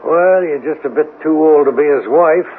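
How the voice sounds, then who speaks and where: An actor playing a wry, needling police detective with dry, teasing authority and a gravelly voice in a 1970s radio drama. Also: male voice, on the high side, moderate pace, close to an everyday voice. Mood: wry, probing. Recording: tinny, noisy old radio broadcast.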